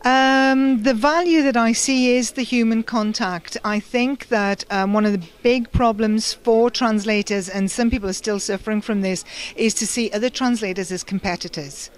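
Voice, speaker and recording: British woman, thoughtful and halting. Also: on the high side, steady tone, smooth. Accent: British English. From United Kingdom. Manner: thoughtful, pauses often with fillers. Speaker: interviewee, freelance translator. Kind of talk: conference interview and personal story.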